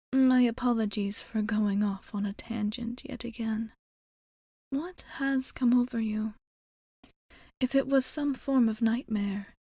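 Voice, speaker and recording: A woman playing a gentle, protective female vampire in an ASMR roleplay, speaking softly and breathily with formal, old-fashioned courtesy. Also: fairly high pitch, no echo, clean studio sound. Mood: soothing, apologetic. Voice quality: soft, breathy, often whispering.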